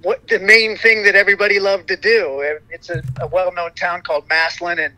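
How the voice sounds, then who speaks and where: A middle-aged man with a high, light voice, giving a rambling interview full of "you know" fillers and restarts. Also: flat delivery, brisk, sharp tone, dry recording, poor audio. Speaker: interview guest, singer in a vocal group. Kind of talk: casual interview chat.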